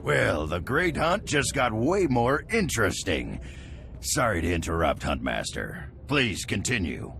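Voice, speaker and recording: A man's voice: lowish voice, very animated, a little slow, creaky, a bit bright, faint music behind, exaggerated voice. An actor playing a dry, wry male official of a hunting contest in a game cutscene.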